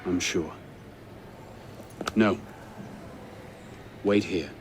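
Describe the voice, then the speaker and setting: A determined young assassin. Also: male voice, sits low, very slow, slight background noise. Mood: resolute. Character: determined, wry young male assassin investigator. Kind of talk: dramatic video game acting.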